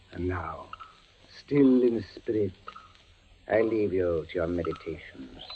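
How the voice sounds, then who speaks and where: A calmly cruel inquisitor friar in a 1940s radio play. He is deliberate and formal, with a lowish voice and drawn-out pace full of menace. The recording is very muffled, with faint noise. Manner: slow, deliberate, ominous, formal.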